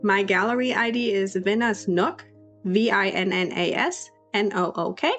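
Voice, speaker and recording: A young woman with a sore throat and a raspy voice, chatting through a casual voiceover for her game-building video, apologetic and full of restarts. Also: medium pitch, normal speed, fairly bright tone, muffled recording. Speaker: YouTube creator. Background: faint background music.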